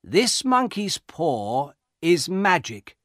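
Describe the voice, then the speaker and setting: A man voicing a grave old soldier in a storybook, warning others slowly and solemnly. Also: on the high side, big pitch swings, a bit bright, no echo, studio quality, voice pushed up, exaggerated voice. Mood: grave, mysterious.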